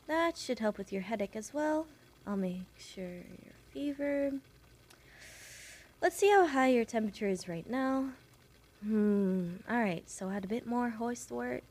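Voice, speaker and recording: A woman's voice: on the high side, darkish tone. A woman voicing a shy, kind young witch who works as an assistant nurse, chatty and apologetic, in an audio roleplay for one patient. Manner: rambling, apologetic, nervously giggly.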